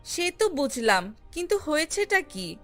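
A woman voicing a humble, kindly young green mango in a children's cartoon, reasoning gently. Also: high-pitched, big pitch swings, measured pace, exaggerated voice. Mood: concerned. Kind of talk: animated children's fairy tale acting. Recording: music under the voice.

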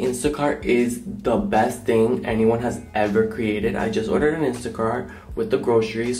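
A young man vlogging casually to a handheld camera around his home, chatty and flamboyant with slang. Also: mid-range voice. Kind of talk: casual vlog chat to camera. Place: home rooms and parked car. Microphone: handheld camera mic. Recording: echoey rooms with music underneath.

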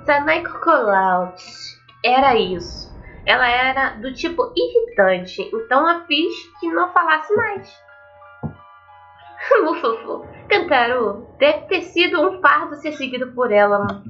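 Woman putting on a high, sweetly deranged schoolgirl. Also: sing-song, slow pace, pushed and bright, highs cut off, soft background music, heavily acted. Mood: gleeful, boastful. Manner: sweet, gleefully boastful. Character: cheerful, deranged teenage schoolgirl, killer devoted to boyfriend.

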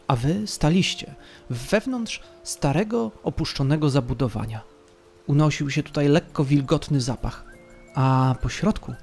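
A man's voice: mid-range voice, creaky, a little mellow. A man narrating as game master of a tabletop game, telling the story with dramatic flair.

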